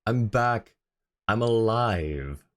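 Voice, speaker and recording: A man's voice: fairly low pitch, expressive, drawn-out words. A man hosting a casual livestream, talking in a friendly, chatty way to his viewers. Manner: friendly, chatty, thanks and greets viewers by turns. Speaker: live streamer, host.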